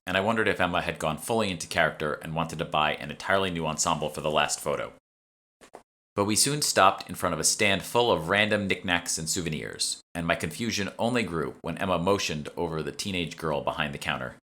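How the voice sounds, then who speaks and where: Guy narrating audiobook, really low voice but bright and crisp edge, first person story. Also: a little fast. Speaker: author reading own audiobook.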